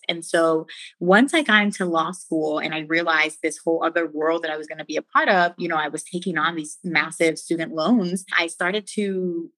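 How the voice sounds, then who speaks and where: Woman, 30s, lower voice, chatty enthusiastic guest, dry close mic. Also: a bit bright, no echo. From Puerto Rico, raised in Philadelphia. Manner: chatty and enthusiastic, frequent "like" and "you know". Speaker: podcast guest, travel writer. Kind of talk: conversational interview.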